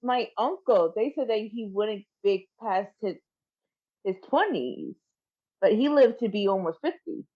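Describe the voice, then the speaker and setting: A woman co-host chatting casually, starting haltingly with repeated words, through dull lo-fi audio. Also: mid-range voice, big pitch swings, dark tone. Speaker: podcast co-host. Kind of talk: casual chat.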